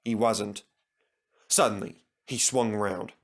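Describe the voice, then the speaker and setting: A man's voice: fairly low pitch, steady tone, slow pace, creaky. A man narrating a scripted children's story.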